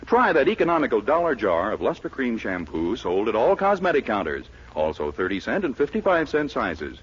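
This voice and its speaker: A man's voice: a little high, breathy edge. A man announcing in the polished, emphatic style of a 1940s radio host reading scripted copy. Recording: muffled, noisy 1940s radio broadcast.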